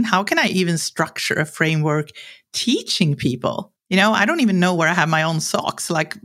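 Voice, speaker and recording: Swedish woman telling candid stories. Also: lowish voice. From Sweden. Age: 40s. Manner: animated, acts out quoted lines, laughs while talking. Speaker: guest. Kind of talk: candid conversational storytelling.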